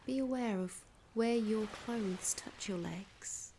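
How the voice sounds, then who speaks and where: Soft, breathy woman guiding a meditation, ambient noise. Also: on the high side. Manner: soft, soothing, gently instructive; unhurried, with long pauses between phrases. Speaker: guided meditation narrator. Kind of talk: scripted guided imagery meditation. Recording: clear background ambience noise.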